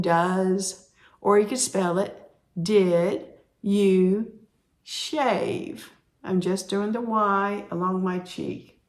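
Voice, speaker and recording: A woman teaching sign language, explaining each sign slowly and repeating the words as she demonstrates. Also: mid-range voice, darkish tone. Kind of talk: slow instructional explanation.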